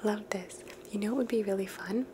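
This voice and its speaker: A woman hosting an ASMR video, talking in a soft, unvoiced whisper and musing thoughtfully as she answers viewers' questions. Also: mid-range voice, a little fast, background noise. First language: English. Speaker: ASMR host.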